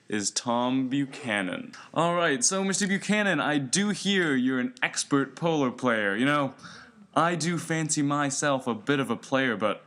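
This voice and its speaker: A man's voice: medium pitch, animated, a little slow, fairly bright tone. A man playing a chummy, pushy 1920s college radio host with showy, folksy announcer patter. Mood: showy, genial. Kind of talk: 1920s radio acting, scripted hosting.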